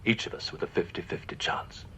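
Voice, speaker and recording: An actor playing a cold, suave film villain, measured and quietly threatening, with a husky voice in a muffled clip. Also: male voice, a little hiss or hum, natural voice. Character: cold, suave male movie villain in a duel.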